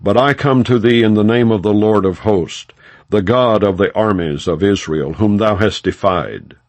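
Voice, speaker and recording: A man's voice: fairly low pitch, moderate pace, dry recording, close to an everyday voice. A man reading the part of a bold, faithful young shepherd in a scripture audiobook, earnest and declamatory in old-fashioned speech. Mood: defiant, resolute.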